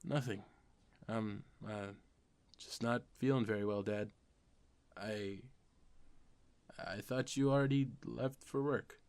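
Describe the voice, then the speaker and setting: A man's voice: fairly low pitch, slow pace, long pauses, darkish tone, smooth. A man playing an earnest, wry teenage comic fan turned amateur superhero, narrating a comic dub in a confessional way. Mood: sheepish, subdued. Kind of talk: comic dub, first-person narration and dialogue.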